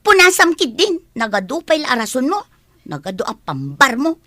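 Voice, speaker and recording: A woman's voice: sits high, huge pitch swings, normal speed, pushed and bright, no room sound, clean studio sound, full character voice. A woman voicing a bossy scolding sister. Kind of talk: radio drama acting.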